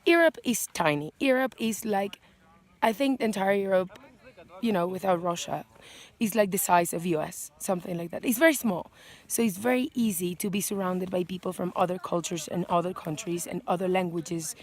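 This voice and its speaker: A woman's voice: on the low side, moderate pace. Venezuelan-accented woman vlogging casually. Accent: Venezuelan Spanish. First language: Spanish. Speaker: vlogger and channel host. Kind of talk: casual chatty list vlog to camera.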